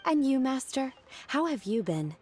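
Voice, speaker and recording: A woman voicing a calm, blunt young disciple in a video game, speaking in a deadpan, plain way. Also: high-pitched, unhurried, soft background music.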